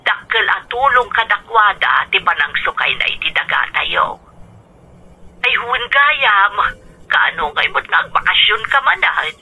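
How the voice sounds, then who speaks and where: An actress playing a caring older rural mother in an Ilocano radio drama, with a Filipino Ilocano accent and a motherly, expressive manner. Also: mid-range voice, unhurried, slightly rough, exaggerated voice, low-quality recording. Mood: affectionate.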